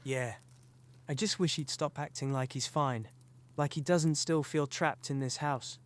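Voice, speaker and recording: A man's voice: a little high, unhurried, lightly acted. A man playing an earnest, weary teenage boy wizard in a fan audio drama, subdued and troubled. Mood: quiet, wistful.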